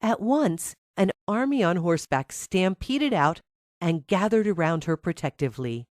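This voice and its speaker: Low-voiced, expressive woman storyteller. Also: normal speed, no room sound, clean studio sound. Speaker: storyteller, narrator. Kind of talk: scripted children's fairy tale narration.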